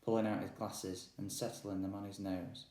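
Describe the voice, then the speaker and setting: A man's voice: on the low side, little pitch movement, moderate pace, warm tone. A man reading a children's story aloud as narrator. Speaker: audiobook reader. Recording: roomy echo, distant microphone.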